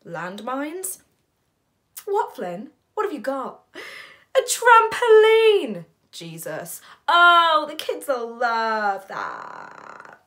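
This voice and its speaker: A woman's voice: fairly high pitch, sing-song, takes it slowly. A woman acting a theatrical monologue as a weary, sardonic, foul-mouthed character, telling her story in a wry, dramatic way with exaggerated quoted voices. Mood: mock cheerful.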